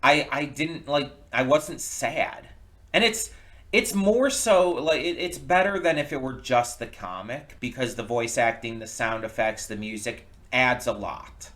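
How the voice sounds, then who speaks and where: A man giving casual opinion commentary as a reaction video host, speaking haltingly and often restarting his words. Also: mid-range voice, a bit bright.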